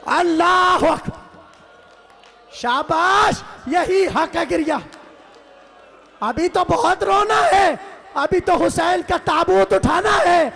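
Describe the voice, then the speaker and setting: A man delivering an impassioned mourning sermon as a religious orator, lamenting dramatically in a strained, wailing voice with drawn-out cries and repeated phrases. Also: very high voice, vocal fry. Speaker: religious orator reciting Karbala martyrdom narrative to mourners. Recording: loud crowd of mourners behind the voice. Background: crowd of mourners.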